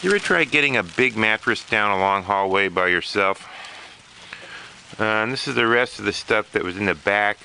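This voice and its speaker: A man talking casually and unscripted as a vlogger, listing items in a plainspoken, matter-of-fact way with pauses. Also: fairly low pitch, animated, gravelly, pushed and bright, slight background noise, poor audio. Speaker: vlogger showing storage auction finds. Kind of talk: casual unscripted narration to camera.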